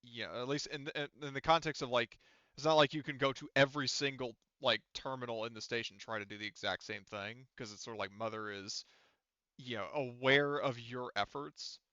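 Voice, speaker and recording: A man's voice: medium pitch, fairly even intonation, a little fast, bright, forceful tone, clear, resonant tone, no echo, muffled recording. A man casually running a tabletop game as game master, rambling and swearing freely.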